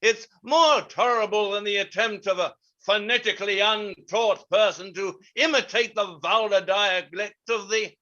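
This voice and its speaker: A man's voice: high-pitched, pushed and bright, lo-fi sound, exaggerated voice. A man playing a self-important, opinionated playwright who lectures in a pompous, declamatory way in a staged reading. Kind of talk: theatrical monologue in staged reading.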